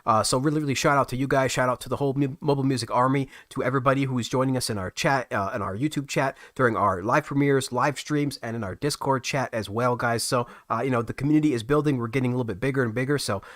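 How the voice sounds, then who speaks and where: Fast-talking man hosting a livestream. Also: mid-range voice, fairly bright tone, no room sound, clean studio sound. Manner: fast, rambling, many restarts. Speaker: livestream host. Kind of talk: casual livestream chat to viewers.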